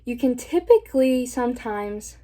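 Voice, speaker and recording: A young woman from Indiana, a college student, talking through a prepared vlog segment in a steady, thoughtful way. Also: fairly high pitch, normal speed. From Indiana, USA. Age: young adult. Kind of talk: scripted vlog talk.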